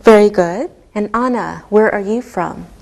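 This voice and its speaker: A woman teaching a beginner English lesson, speaking deliberately and enunciating every word clearly. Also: mid-range voice, darkish tone. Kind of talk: slow, scripted ESL lesson delivery.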